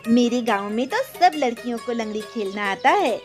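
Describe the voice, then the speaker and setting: A woman's voice: fairly high pitch, expressive, moderate pace, faint music behind, lightly acted. A woman voicing a friendly, teasing young village girl in a Hindi cartoon story.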